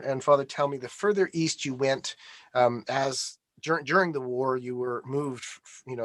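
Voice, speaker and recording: A middle-aged man speaks in an unhurried, halting way, often restarting and filling pauses. His voice sits at an ordinary middle pitch, recorded close and dry with no room sound. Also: fairly bright tone, dry recording. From Canada. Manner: hesitant, many restarts and fillers. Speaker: guest. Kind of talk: conversational interview.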